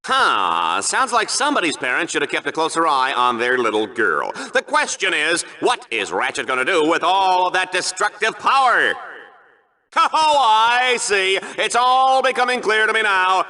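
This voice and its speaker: A man's voice: high-pitched, very animated, moderate pace, sharp tone, full character voice. An actor playing a hammy, gleefully callous male sports show announcer in a video game, commentating like a bombastic showman with exaggerated emphasis. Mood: wry, taken aback, then hyped, dramatic.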